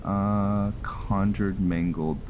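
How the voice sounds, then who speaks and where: A man giving casual roleplay gameplay commentary, thinking aloud in a halting way as he goes. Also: low voice, fairly even intonation, noisy background. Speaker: gaming channel host.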